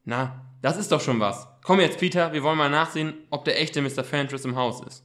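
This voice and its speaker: A man's voice: a little high, brisk, fairly bright tone, close to an everyday voice. A man playing an eager, self-assured boy detective who takes charge, in an amateur German radio play. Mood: businesslike.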